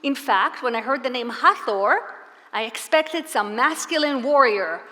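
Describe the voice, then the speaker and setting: Woman, fairly high voice, earnest presenter, live talk to an audience, long hall reverb. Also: animated, measured pace. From Israel. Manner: earnest, warm-spoken storyteller with rhetorical questions.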